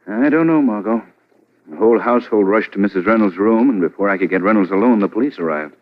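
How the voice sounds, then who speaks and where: Man playing cool, low-voiced gentleman sleuth. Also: quick pace, lightly acted. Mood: determined. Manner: composed, assured. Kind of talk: 1940s radio acting. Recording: dull old radio recording.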